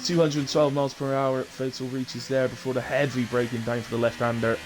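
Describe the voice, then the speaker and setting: A man with a chesty, mid-range voice hosts a live sim racing stream. He commentates informally in short running phrases, with clear noise behind him. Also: mid-range voice, level tone, a little fast. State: coughing, chest infection. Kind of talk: live race commentary with casual chat.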